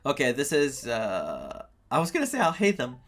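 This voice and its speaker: A man doing playthrough commentary as a game streamer, reading on-screen text aloud and reacting in an animated way. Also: mid-range voice, moderate pace. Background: game music.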